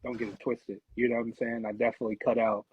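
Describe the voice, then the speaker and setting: A man's voice: medium pitch, flat delivery, racing, slightly rough, muffled recording. A man talking in an emphatic, slangy way as a podcast guest, often checking that the listener follows. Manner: emphatic, slangy, keeps checking "you know what I'm saying". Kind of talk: casual chat.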